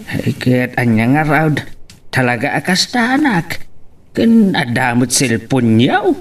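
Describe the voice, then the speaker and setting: A man's voice: medium pitch, wildly swooping pitch, slow, far from the mic, heavily acted. An actor playing a prying but reassuring male confidant in an Ilocano drama. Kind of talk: Ilocano radio-style drama acting.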